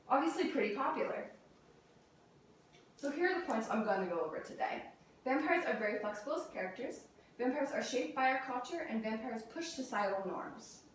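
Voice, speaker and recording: Young female student speaker, higher-pitched, presenting a rehearsed persuasive talk in a very reverberant room. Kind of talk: prepared persuasive classroom speech. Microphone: distant camera or room mic. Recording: very echoey room, distant mic, faint noise.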